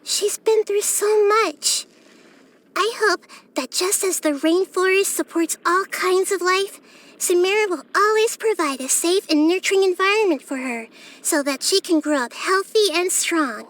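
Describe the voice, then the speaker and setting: A small child-like goddess from a fantasy video game, her voice high and girlish. She speaks gently and thoughtfully, like someone far wiser than she sounds. Her phrasing is measured and earnest, with a steady curiosity about people and the world. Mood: tender, hopeful. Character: small wise young girl deity, gentle and curious. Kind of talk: scripted video game character voice lines.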